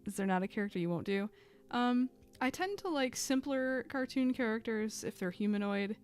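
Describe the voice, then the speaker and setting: A woman chatting casually while she draws on a live stream, thinking aloud with fillers and little laughs, a bit hoarse as she loses her voice. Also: a little high, lively intonation, moderate pace, darkish tone, faint music behind. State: losing her voice.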